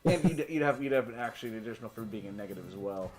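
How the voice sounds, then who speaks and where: A man's voice: lowish voice, expressive, racing, over music, low-quality recording. A man hosting a tabletop game stream, chatting casually and explaining dice rules in an informal, jokey way. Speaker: game master and stream host.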